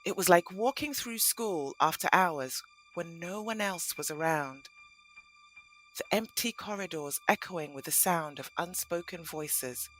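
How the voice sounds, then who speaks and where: Expressive, lower-pitched woman narrator. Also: a little slow, soft background music, poor audio. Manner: expressive, dramatic storytelling. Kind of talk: scripted storybook narration for audio play.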